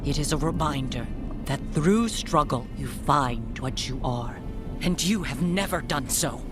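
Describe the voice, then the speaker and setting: Stern, slow-spoken mother. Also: deep voice, lively intonation. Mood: solemn, firm. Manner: measured, solemn, emphatic. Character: stern, dignified mother defending their child. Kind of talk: dramatic fantasy video game acting. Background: music.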